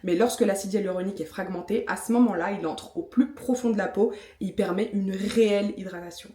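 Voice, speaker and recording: A woman's voice: medium pitch, brisk, mellow. A woman in her thirties from France, talking chattily to camera with frequent fillers and self-mocking asides while reviewing skincare. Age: 30s. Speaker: vlogger. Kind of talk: casual vlog talk with product review. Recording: echoey room.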